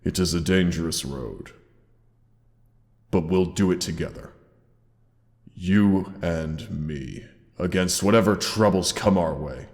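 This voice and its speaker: A man voicing a gentle, caring old dragon in a roleplay audio drama, speaking formally and tenderly with dramatic pauses. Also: low-pitched. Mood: resolute, protective. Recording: long cavernous reverb.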